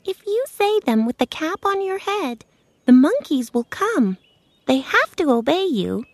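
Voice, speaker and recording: A woman voicing a tiny, kindly queen of the field mice in a storybook reading, squeaky and sing-song. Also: very animated, measured pace, no room sound, heavily acted.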